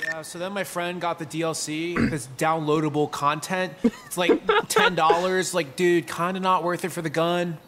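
Man playing an awkward high-voiced teenage boy. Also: normal speed, crowd noise, natural voice. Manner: hesitant, rambling slacker talk. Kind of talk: video game voice acting.

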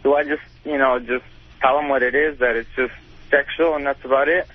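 A man's voice: mid-range voice, steady tone, brisk, husky, no room sound. A man phoning a radio show, speaking in a hesitant, deferential way. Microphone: telephone. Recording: narrow, tinny phone line.

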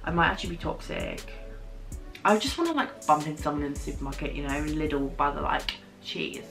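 A young woman rambles to camera from her bedroom, joking at her own expense. Her voice sits low for a woman. Soft music plays faintly under her talk. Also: brisk, gravelly, far from the mic. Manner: chatty, rambling, self-mocking. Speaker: YouTuber. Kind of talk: casual chat to camera. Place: bedroom, indoors. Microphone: camera in front, medium framing.

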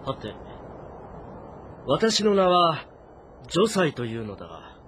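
A man playing an earnest, composed warrior commander who speaks in a measured, probing way in a game drama. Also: a little high, huge pitch swings, fairly bright tone, a little hiss or hum, heavily acted. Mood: wary. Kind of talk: dramatic audio-novel game acting.

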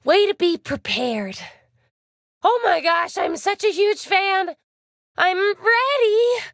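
A woman with an American accent voicing a bubbly, eager, excitable young princess racer in a video game, gushing and slightly flustered. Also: very high-pitched, slow, no room sound, studio quality, exaggerated voice. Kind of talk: animated video game character voice lines.

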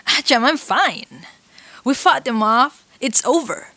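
An actress playing a stubborn, emotional young woman singer in an animated motion comic, with dramatic delivery. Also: sits high, takes it slowly, exaggerated voice. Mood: upset, pleading.

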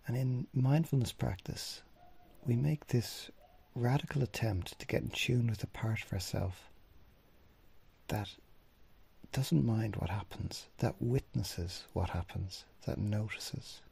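A man leading a guided body scan meditation in gently instructing, unhurried phrases with long pauses, his husky, breathy voice often trailing off into a near-whisper. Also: mid-range voice. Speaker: mindfulness meditation teacher.

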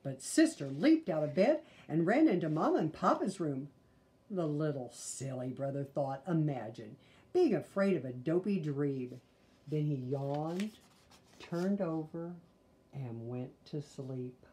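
A woman in her sixties narrates a picture book with a mellow tone and very lively melody. She reads expressively and drops in little asides to the listeners. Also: low-pitched, measured pace. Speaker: storybook reader. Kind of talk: children's read-aloud narration. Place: small living room, indoors.

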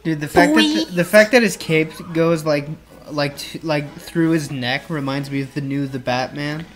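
A man's voice: fairly high pitch, expressive, moderate pace. A man joking and riffing casually over a cartoon as a co-host, silly, profane and often laughing. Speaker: co-host of a comedy reaction show.

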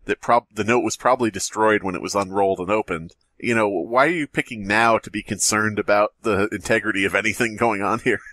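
A man's voice: on the low side, fairly even intonation, brisk, hardly any pauses, pushed and bright, no echo. A man hosting a comedy podcast, reading aloud and joking in a sarcastic, sweary way. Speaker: podcast host. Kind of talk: comedic read-aloud and banter.

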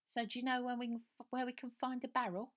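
Lady doing a kind sensible girl, gentle and friendly. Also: a little high, flat delivery, quick pace, voice pushed up, close to an everyday voice.

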